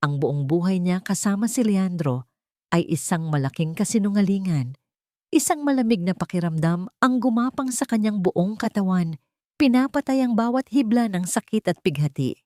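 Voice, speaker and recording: A woman narrates a scripted dramatic story. Her voice sits low, and the recording is dry and close, with no room sound. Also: a little mellow, no room sound.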